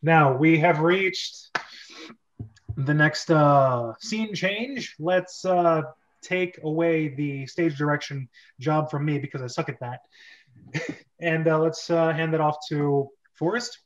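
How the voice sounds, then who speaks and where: Slightly muffled, higher-pitched male host. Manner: halting, self-correcting. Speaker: host and script reader. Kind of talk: casual, joking amateur table read.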